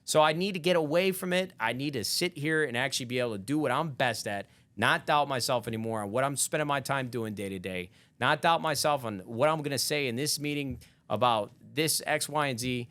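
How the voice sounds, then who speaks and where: A man in his thirties talks on a podcast in a candid, reflective way, circling back on his thoughts as he goes. His voice sits at an ordinary pitch. The sound is dry and close, with no room around it. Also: fairly quick, hardly any pauses, fairly bright tone, no room sound. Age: 30s. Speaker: podcast guest. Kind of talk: casual podcast chat.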